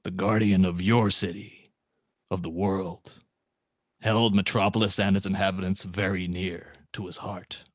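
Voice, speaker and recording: A man playing a grim, solemn masked vigilante hero in a script read-through, speaking in a grave, measured, restrained way. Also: low voice, level tone, no echo, studio quality. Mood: grave, mournful. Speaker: table-read performer.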